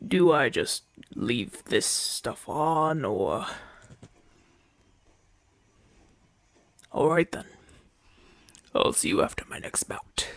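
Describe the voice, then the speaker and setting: Man playing a proud, slow-spoken gladiator champion. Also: medium pitch, sing-song, a bit bright, no room sound, exaggerated voice. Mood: softening, grudging. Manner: imperious, formal, dismissive. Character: proud, haughty Roman gladiator champion, gruff but softening. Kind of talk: ASMR audio roleplay acting.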